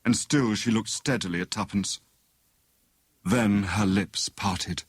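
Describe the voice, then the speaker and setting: A man with a British accent narrating a detective story in a measured, dramatic storytelling manner. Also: lowish voice, husky, dry recording. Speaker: audiobook narrator.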